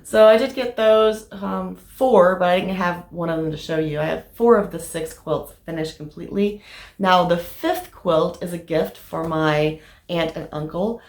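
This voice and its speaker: A woman with a slightly raspy voice from allergies, chatting casually about her crafting progress with lots of fillers. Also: lowish voice, unhurried. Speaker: crafting vlogger. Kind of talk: casual show-and-tell vlog. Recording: echoey room.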